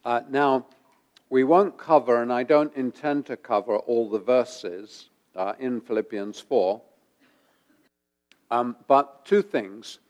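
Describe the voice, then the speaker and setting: A man preaching a sermon while he has a head cold, his voice gravelly, pausing often with ums and uhs and repeating phrases for emphasis. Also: mid-range voice, a little mellow. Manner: halting, many ums and uhs. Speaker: pastor.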